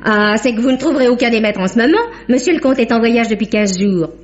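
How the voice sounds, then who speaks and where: An actress playing a simple, obliging apartment-house concierge in a 1950s French radio mystery, plain-spoken and slightly wary. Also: female voice, on the high side, quick pace, close to an everyday voice. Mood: matter-of-fact. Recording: dull, noisy old radio recording.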